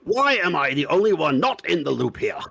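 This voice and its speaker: A man's voice: a little high, sing-song, a little fast, vocal fry, background noise. A man voicing a pompous, befuddled old judge in a live fan dub of a courtroom video game, bumbling but solemn. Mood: puzzled, stern. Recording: music behind the voice. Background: game music.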